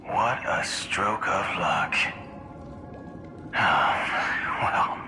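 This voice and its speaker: A man's voice: sits low, drawn-out words, background noise. A man playing a cocky, menacing gunslinger in a video game, with a swaggering drawl and a wry, taunting edge. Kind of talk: dramatic video game voice acting. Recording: long reverb, loud music behind.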